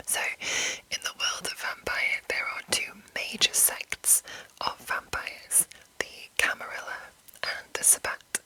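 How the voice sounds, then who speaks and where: A woman speaks in a breathy, unvoiced whisper with a very bright, crisp edge. She explains things in a soft, measured way, as an ASMR host would, rambling a little without a script. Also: low-quality recording.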